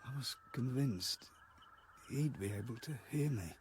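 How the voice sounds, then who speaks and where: An actor playing a haunted, halting man who recalls a wartime childhood in a radio drama. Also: mid-range voice, expressive, darkish tone, a little hiss or hum, close to an everyday voice. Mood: sorrowful, bitter. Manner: halting, fragmented, hesitant delivery.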